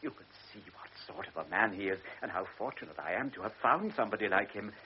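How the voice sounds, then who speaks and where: An actor playing a frail, anxious elderly baron who is a devoted father. He speaks earnestly, stammers and drops into hushed tones. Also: fairly low pitch, expressive, fast, lightly acted. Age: older. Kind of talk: classic radio drama acting. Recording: lo-fi radio recording with faint hiss.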